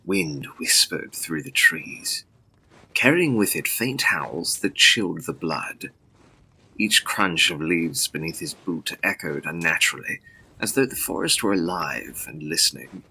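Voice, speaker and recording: Male narrator for gothic drama: husky, very forceful and sharp, deliberate, solemn delivery. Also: deep voice, expressive, poor audio.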